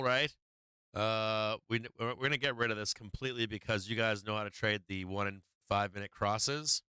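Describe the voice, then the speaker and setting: A man's voice: mid-range voice, pushed and bright, smooth, no echo. A man hosting a stock market livestream, talking casually and profanely through charts with many fillers while he is losing his voice.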